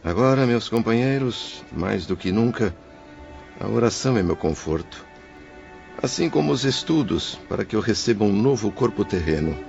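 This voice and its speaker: A deep, husky male spirit, played by a man, confessing with solemn remorse in an audio drama. His low voice sits over soft background music, the sound a little muffled. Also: animated, normal speed. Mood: remorseful. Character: remorseful male suicide spirit, husband and father.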